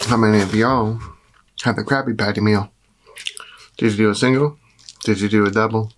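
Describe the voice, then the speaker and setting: A man in his forties with a raspy, slightly hoarse voice, chatting casually while eating a meal on camera. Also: on the low side, fairly even intonation, moderate pace, a little hiss or hum. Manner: chatty, eats and talks with mouth full. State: hoarse from a cold. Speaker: food vlogger. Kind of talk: casual mukbang chat. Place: dark room at a table.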